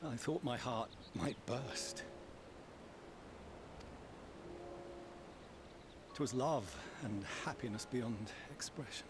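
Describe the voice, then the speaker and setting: A middle-aged man playing a dignified, earnest father and leader in a game, speaking formally and with deliberate weight. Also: mid-range voice, lightly acted. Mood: tender, heavy. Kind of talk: formal dramatic game dialogue. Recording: clear background noise.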